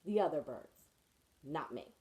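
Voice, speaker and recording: A woman's voice: low-pitched, lively intonation, unhurried, mellow, voice pushed down. A woman voicing an adventurous young male penguin who hates the cold, in a children's picture book read-aloud. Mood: determined. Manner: cheerful, determined storybook delivery.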